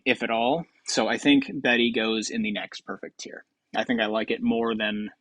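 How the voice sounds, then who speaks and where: A man's voice: mid-range voice, a bit bright, no echo. Casual rambling man on a dry mic. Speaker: video host ranking game voice lines.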